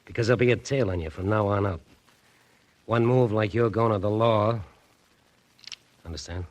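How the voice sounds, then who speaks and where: A man's voice: fairly low pitch, moderate pace, close to an everyday voice. A man playing a cold, threatening gangster. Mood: menacing. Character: menacing male gangster watching the hero. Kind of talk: 1940s radio acting.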